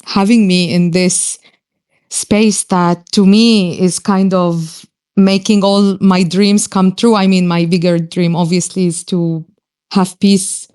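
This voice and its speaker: A woman's voice: lowish voice, fairly even intonation, takes it slowly, darkish tone, clean tone. A woman in her thirties who grew up in Israel, a native Arabic speaker, speaking earnestly with frequent hesitations as a guest in a live online audio discussion. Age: 30s.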